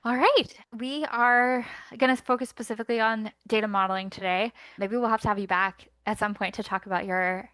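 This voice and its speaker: Bright, enthusiastic woman host. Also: fairly high pitch, fairly even intonation, clean tone, no room sound, poor audio. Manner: enthusiastic, quick affirmations. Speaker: podcast host. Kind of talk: upbeat interview hosting.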